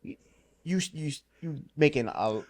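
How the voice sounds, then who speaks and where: A young man hosting a casual podcast chat, his voice swinging up and down with lively pitch. He talks loose and slangy, breaking into laughs often. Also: measured pace. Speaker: podcast host.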